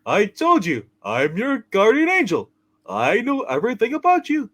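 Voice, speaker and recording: Fussy old guardian angel, extremely high and swooping, chirpy, theatrical radio-play ham. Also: male voice, wildly swooping pitch, moderate pace, a bit bright, heavily acted. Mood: bright, insistent. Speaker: channel co-host. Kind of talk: hammed-up radio play reading.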